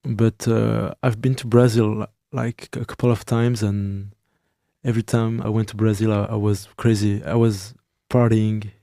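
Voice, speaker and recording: A young man from France who speaks natively, talking haltingly in an interview, searching for words with many pauses. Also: fairly low pitch, little pitch movement, vocal fry, warm tone, dry recording, studio quality. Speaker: musician guest. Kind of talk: interview answers.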